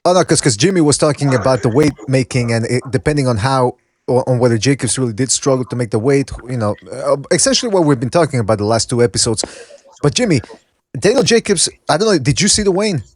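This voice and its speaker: A man's voice: medium pitch, lively intonation, fast. A man hosting a casual call-in sports podcast over an online voice call. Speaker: podcast host.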